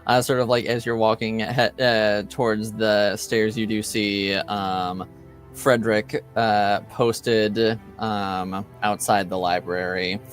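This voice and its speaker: A man narrating an improvised tabletop game as game master, halting and hesitant. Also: fairly low pitch, flat delivery, faint music behind. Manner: halting, hesitant, with long gaps.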